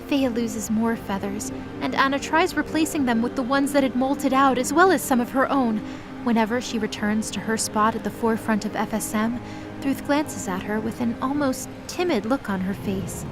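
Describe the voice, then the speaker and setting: A woman's voice: fairly high pitch, moderate pace, music in the background, poor audio. A woman narrating a scripted audio drama in the present tense as its storyteller.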